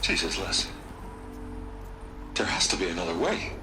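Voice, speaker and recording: A man's voice: low voice, sing-song, moderate pace, over music. A man playing an evasive middle-aged corporate tycoon in a game cutscene, hesitant, defensive and pleading. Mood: aghast.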